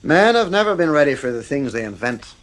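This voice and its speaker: A man's voice: on the high side, big pitch swings, fairly quick. An actor playing a stern, worried father in a 1950s radio drama, firm and weary in manner.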